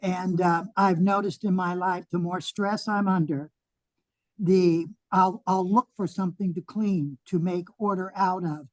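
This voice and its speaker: Lower-voiced woman, agreeable and halting. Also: fairly even intonation, no echo, poor audio. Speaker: class participant. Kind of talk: casual chat.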